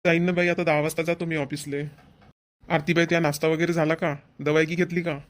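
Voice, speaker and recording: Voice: medium pitch, little pitch movement, fairly quick, lightly acted. An actor playing an insistent, homely village brother in a family cartoon, with a rural Varhadi Marathi accent. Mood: concerned. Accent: Maharashtrian, rural Varhadi Marathi. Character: bossy but caring village brother and husband.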